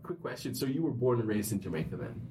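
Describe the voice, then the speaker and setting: A man's voice: medium pitch, very animated, quick pace, music in the background, rough recording. A man hosting a podcast, introducing the episode and asking his guest curious, prompting questions. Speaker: podcast host. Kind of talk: casual interview and scripted intro.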